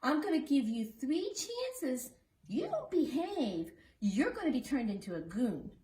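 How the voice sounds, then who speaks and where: A woman's voice: sits high, sing-song, unhurried, dark tone. A woman voicing a stern good fairy from a children's story, giving singsong warnings, in a roomy, slightly noisy recording. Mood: scolding. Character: stern female good fairy scolding a naughty bunny. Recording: roomy echo, background noise and clicks.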